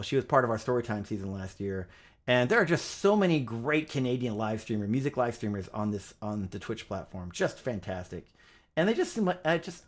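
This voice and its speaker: A middle-aged man hosting a casual music livestream, chatty and upbeat, with lots of fillers. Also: medium pitch. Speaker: livestream pianist host.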